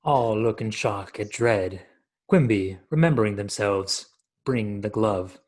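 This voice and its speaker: A man's voice: on the low side, slow, darkish tone. A man in his thirties reading scripted stage directions as the narrator of a radio play, over a video call from a room at home.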